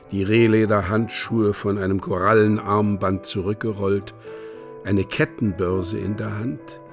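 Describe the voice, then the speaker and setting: A man narrating a literary radio play from a script in a measured, precisely enunciated way. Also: lowish voice. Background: music.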